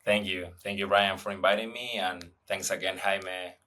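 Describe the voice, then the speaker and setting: A man's voice: low voice, steady tone, moderate pace, pushed and bright, a little hiss or hum. A young man from Argentina, an English speaker, answering interview questions casually with many fillers and restarts. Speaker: guest, venture investor.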